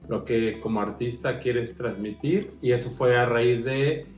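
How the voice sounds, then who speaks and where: Drag performer, male, mid-pitched conversational delivery, echoey room with faint music. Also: measured pace, darkish tone. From Mexico. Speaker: drag queen street performer. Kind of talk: personal interview talk.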